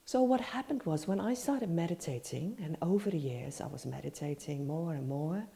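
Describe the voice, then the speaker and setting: A woman hosts a meditation podcast with a stuffed-up nose from a cold. Her voice sits low and sounds soft and mellow. She rambles gently, full of fillers and restarts. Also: expressive, normal speed, vocal fry. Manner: rambling, many fillers and self-corrections. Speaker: podcast host, meditation teacher. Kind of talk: casual spoken teaching.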